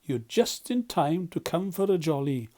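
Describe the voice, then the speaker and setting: Man putting on an excitable, high-pitched storybook toad. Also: measured pace. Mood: cheerful. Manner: exuberant, theatrical. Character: excitable, boastful, unrepentant male toad.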